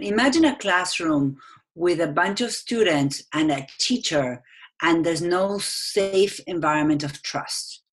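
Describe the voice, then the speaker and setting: A woman from Argentina with an Argentine accent, a guest answering interview questions in an earnest, reflective way with light laughs. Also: fairly low pitch.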